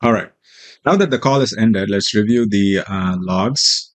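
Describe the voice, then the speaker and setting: A man with a fairly low voice walks through a software demo as its presenter. His explanation is informal and somewhat halting, with a hesitant flow. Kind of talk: informal tutorial walkthrough.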